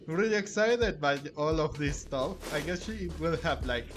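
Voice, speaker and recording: A man's voice: a little high, lively intonation, a little slow. A man giving casual let's-play commentary, answering the game's dialogue in a hesitant, rambling way. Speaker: gaming video creator. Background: game music.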